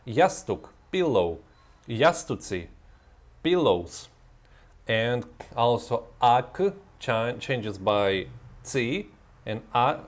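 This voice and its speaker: A man teaching a language lesson, reading word pairs and explaining exceptions in non-native English with frequent restarts. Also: medium pitch. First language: not Serbian. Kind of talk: vocabulary lesson with examples.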